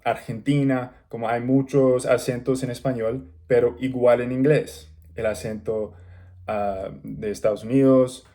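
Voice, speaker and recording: Slow, mellow-voiced man learning the language. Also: fairly low pitch. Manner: halting learner's speech with pauses and restarts. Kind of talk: casual talk to camera.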